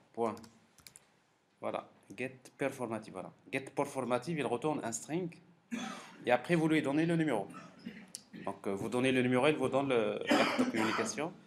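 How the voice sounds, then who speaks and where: A man giving a live technical software lecture as a professor, halting and repeating words as he thinks aloud. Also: medium pitch. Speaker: university professor teaching a class. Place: classroom during a live course session. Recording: clearly noisy classroom recording.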